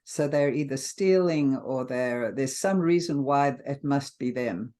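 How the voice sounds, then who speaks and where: Older South African woman, halting and earnest. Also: a bass voice, dark tone. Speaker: interview guest. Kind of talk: casual interview talk.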